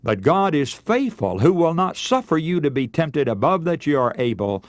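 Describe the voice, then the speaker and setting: A man preaching a radio sermon in earnest, measured oratory, moving between scripture and exhortation. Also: on the high side, sing-song, dry recording.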